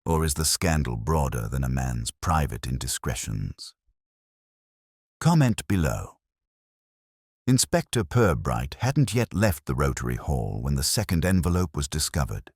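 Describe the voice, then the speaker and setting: Man, very low pitch, animated intonation, formal measured storytelling, scripted mystery narration. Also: breathy edge, dry recording, studio quality.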